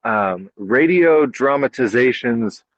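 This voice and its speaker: A man's voice: mid-range voice, animated, takes it slowly, no echo, highs cut off. A man hosting a podcast in a casual, conversational interview style. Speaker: podcast host.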